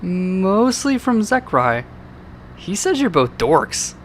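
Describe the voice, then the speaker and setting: A man's voice: high voice, big pitch swings, slow pace, a little hiss or hum, heavily acted. A man voicing a dejected, timid, bullied young creature boy, mopey and self-pitying, in an amateur cartoon audio drama. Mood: shy.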